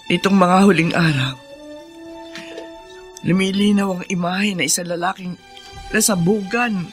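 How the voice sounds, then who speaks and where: A woman's voice: mid-range voice, close to an everyday voice. A woman playing a troubled, weary older plantation woman in a Filipino radio drama.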